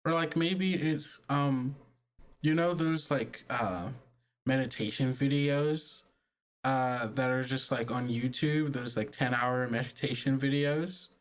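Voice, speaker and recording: A man's voice: mid-range voice, steady tone, takes it slowly, lightly acted. A man playing a casual young girl who talks in a tentative, rambling way. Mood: unsure guessing.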